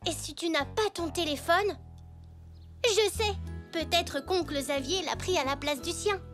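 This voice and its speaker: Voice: very high-pitched, measured pace, a bit bright, faint music behind. A performer voicing a polite, earnest little boy zebra in a French children's cartoon. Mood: earnest, helpful.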